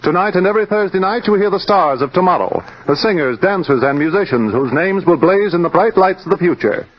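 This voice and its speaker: Old radio promo guy, voice kinda high, formal announcer read, muffled sound. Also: expressive, a little fast. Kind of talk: scripted 1950s radio promo. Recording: dull old radio recording.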